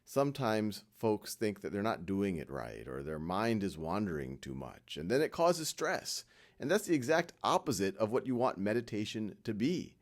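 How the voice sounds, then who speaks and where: A man leading a guided meditation in a gentle, reassuring manner, leaving frequent pauses between short instructions. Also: lowish voice.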